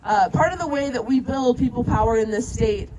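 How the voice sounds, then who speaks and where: A woman's voice: fairly high pitch, brisk. A woman organizer giving an impassioned, emphatic political speech at an outdoor rally. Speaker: activist organizer speaking at a rally. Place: outdoors at a protest. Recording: outdoor rally with music and crowd noise.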